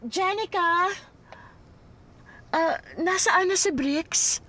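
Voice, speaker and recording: An actress with a Filipino accent playing a frail, grateful, ailing wife in a radio drama. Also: female voice, very high voice, very slow, heavily acted. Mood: weak, weary.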